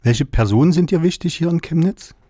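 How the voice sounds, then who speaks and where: A man asks questions for a radio program, calm and plain in manner. His voice is mellow and a little husky, recorded dry and close. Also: fairly high pitch, brisk, dry recording. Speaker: radio interviewer.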